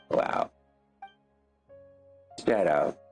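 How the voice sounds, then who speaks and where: A man's voice: very slow, darkish tone. Casual man reacting to a game. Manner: informal, swearing. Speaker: game streamer. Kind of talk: casual gameplay reaction commentary. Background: game music.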